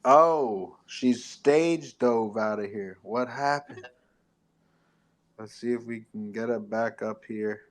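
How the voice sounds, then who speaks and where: A man's voice: mid-range voice, slow pace. A man hosting a live community audio show, informally addressing listeners and guests in an encouraging, promotional way. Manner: encouraging, informal, calls people "my friend".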